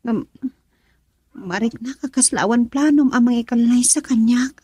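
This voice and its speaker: A woman's voice: on the high side, moderate pace, mellow, husky, no room sound, clean studio sound. A woman playing a frustrated young girlfriend in an Ilocano radio drama, emotional and pleading as she longs to marry.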